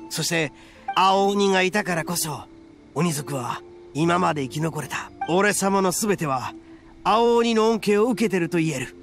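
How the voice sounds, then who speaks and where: A cocky young demon gang boss, anime game, gravelly n swoopy, rough talker. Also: male voice, sits high, animated, a little slow, a bit bright, faint music behind, heavily acted. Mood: proud, earnest. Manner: cocky, rough-spoken, theatrical. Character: brash, boastful young male red oni gang boss. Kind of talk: Japanese anime game voice acting.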